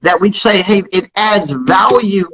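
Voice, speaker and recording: A man with a high, husky voice gives promotional interview talk as a guest. He speaks emphatically and circles back to repeat his key phrases. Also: a little slow, fairly bright tone, low-quality recording. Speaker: interview guest, music business founder.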